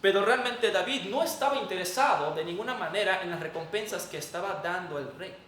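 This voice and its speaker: A man's voice: high voice, lively intonation, fairly quick, a bit bright. A man with a Mexican accent preaching a sermon in an emphatic, didactic way. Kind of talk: sermon with Bible reading and prayer. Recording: echoey room, distant mic, faint noise.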